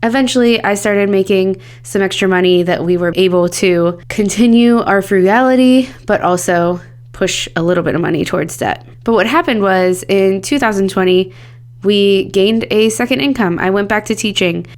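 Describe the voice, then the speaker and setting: A woman's voice: mid-range voice, normal speed. Woman host with a scratchy, cold-worn voice. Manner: earnest, candid and upbeat. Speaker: podcast host. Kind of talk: solo podcast talk.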